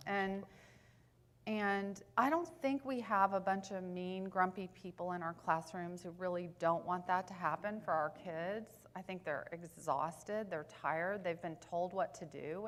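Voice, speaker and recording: A woman school board member on a panel, speaking candidly and telling stories, sometimes losing her thread. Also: on the low side. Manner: candid and anecdotal, laughing at herself, loses her thread. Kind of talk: panel discussion.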